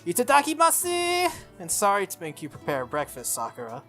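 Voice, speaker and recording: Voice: mid-range voice, wildly swooping pitch, a little fast, a bit bright, pitched above the performer's own voice. A game streamer voicing an earnest, flustered teenage boy from a visual novel, with a hesitant delivery. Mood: cheerful. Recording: music under the voice.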